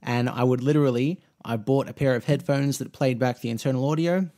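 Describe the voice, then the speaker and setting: A man's voice: mid-range voice, fairly quick, dark tone. Australian man, twenties, casual gaming vlog, rambling, chatty. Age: 20s. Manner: rambling, with frequent restarts and fillers; easygoing, chatty. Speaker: mobile gaming YouTuber.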